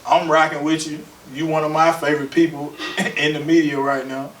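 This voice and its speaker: Relaxed man trading quips on a podcast. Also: a little high. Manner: relaxed, quick back-and-forth interjections. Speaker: podcast co-host. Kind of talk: casual podcast chat. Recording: echoey room, mic at a distance, faint noise.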